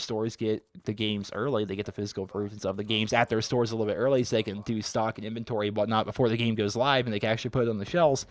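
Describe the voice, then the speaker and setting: Twenties guy from western Pennsylvania, hoarse from a cold, rapid rambling gaming vlogger. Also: mid-range voice. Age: 20s. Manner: rambling, heavy filler use. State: sick, raspy voice. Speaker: gaming YouTuber. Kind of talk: casual vlog chat.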